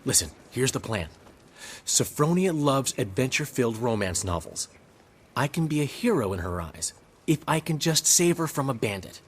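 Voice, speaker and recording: Eager would-be hero, scheming, husky, creaky, hugely animated pitch, game dialogue. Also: male voice, moderate pace. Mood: eager, plotting.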